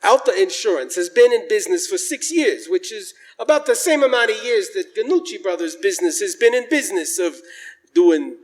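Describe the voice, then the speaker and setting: A man's voice: high-pitched, animated, fairly quick. A man hosting a live radio show, announcing in a showy, jocular old-time style in a church hall. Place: large church, live stage performance.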